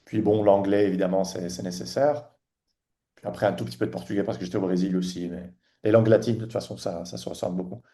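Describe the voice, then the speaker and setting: Podcast guest, man in his forties from Tunisia; mellow, halting delivery full of fillers. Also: low-pitched, fairly even intonation. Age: 40s. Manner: halting, many restarts and fillers. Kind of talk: casual interview chat.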